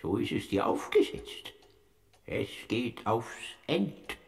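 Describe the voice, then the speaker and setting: A creaky, rasping Death in an old radio comedy, drawling slowly in a thick Bavarian accent. His low voice swoops wildly up and down, timid and wheedling. Gravel and fry run through every word. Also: huge pitch swings, heavily acted. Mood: solemn. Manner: hesitant, wheedling. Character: Boandlkramer, timid creaky male Death figure. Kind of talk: classic radio play acting.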